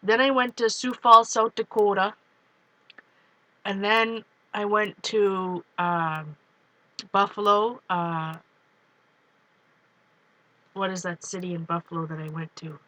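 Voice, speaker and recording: A woman's voice: medium pitch, steady tone, takes it slowly, pauses often, pushed and bright, dry recording, low-quality recording. A woman with a Canadian accent tinged by Minnesota, chatting in a rambling way to viewers as she flips through her art journals from her couch. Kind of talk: casual chat while flipping through art journals. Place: home, on a couch.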